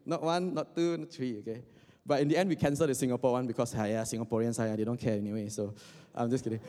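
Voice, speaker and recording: A young man from Indonesia delivers a sermon in a relaxed, chatty way, breaking in with little jokes and stumbling restarts. His voice is mellow and sits at a middle pitch. The sound rings out with the long reverb of a large hall. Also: quick pace. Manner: joking asides, frequent fillers and restarts. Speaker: preacher. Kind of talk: casual conversational sermon.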